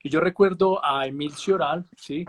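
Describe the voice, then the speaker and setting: A man's voice: fairly high pitch, moderate pace. A man hosting a casual interview, asking long questions with hesitant fillers and tag questions. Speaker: host.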